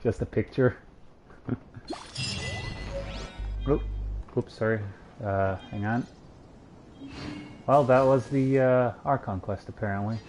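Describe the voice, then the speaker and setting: A man's voice: medium pitch, slow, pauses often, darkish tone. A man streaming a video game, giving casual play-by-play commentary on what he does. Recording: game music behind the voice. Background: video game music.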